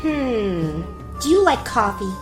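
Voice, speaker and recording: A woman's voice: fairly high pitch, very animated, takes it slowly, music in the background. A woman voicing a sassy, impulsive young princess, blunt and dramatic, in a cartoon parody. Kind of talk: cartoon gag-dub parody.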